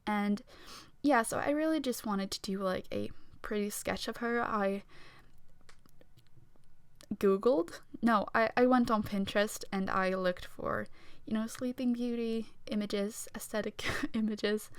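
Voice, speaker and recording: A young woman from Sweden with a Swedish accent, talking quietly and self-consciously over her speed drawing, with small nervous laughs. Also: mid-range voice, measured pace, clean tone, no room sound. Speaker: art vlogger. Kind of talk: casual voice-over talk during a speed drawing. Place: home room.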